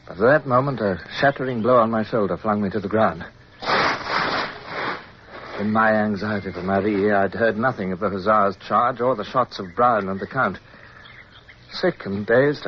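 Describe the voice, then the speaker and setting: A man's voice: lowish voice, close to an everyday voice. An actor playing a resolute British naval officer in a radio drama, who commands and narrates his own adventure. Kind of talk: radio drama acting and first-person narration. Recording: dull, noisy old radio recording.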